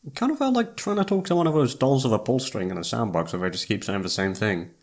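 A man plays an impulsive young male best friend in a roleplay audio drama. His voice is mid-pitched and swings widely as he talks. He speaks casually and emotively, with a hesitant, lovestruck awkwardness. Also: lively intonation, fast talker. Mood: sheepish, deflated. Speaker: voice actor.

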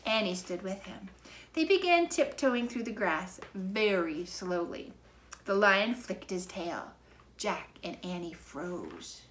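A middle-aged woman narrating a children's book in a measured, dramatic way, recorded indoors by a camera a few feet away. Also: medium pitch, animated, a bit bright. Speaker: storybook reader. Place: living room, indoors. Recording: noisy living-room camera recording.

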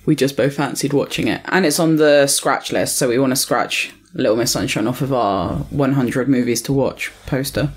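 A woman's voice: a bass voice, normal speed, few pauses, slightly rough. A young woman co-hosting a podcast, chatting casually about films. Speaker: podcast co-host. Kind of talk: casual film review chat.

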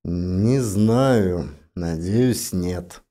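A man playing a grim, cautious scientist on a space expedition, explaining seriously and in a measured way for an audiobook. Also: low-pitched, warm tone. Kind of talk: audiobook drama character voice.

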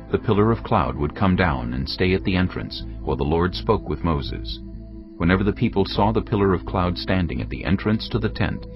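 A man narrating a dramatized audio Bible in a steady storytelling delivery. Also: sits low. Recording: slightly dull audio with music bed.

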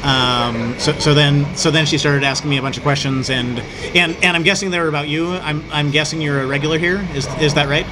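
A middle-aged man hosting a casual interview over drinks, talking in a halting way with many restarts and fillers. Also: on the high side, background noise. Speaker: host. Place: busy bar at night, indoors. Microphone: small tripod mic on the table. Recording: loud music in a bar.

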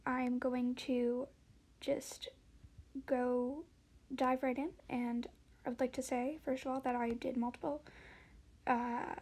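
Amateur female voice actor, high voice, slow-paced casual intro, hesitant and self-deprecating. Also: darkish tone, slight background noise.

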